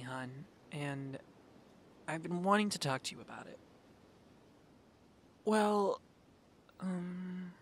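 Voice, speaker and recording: Guy acting a young superhero boyfriend, sincere, affectionate, bright voice, faint rumble behind him, asmr roleplay. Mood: nervous, affectionate. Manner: hesitant, earnest, affectionate. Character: earnest young male superhero, devoted boyfriend.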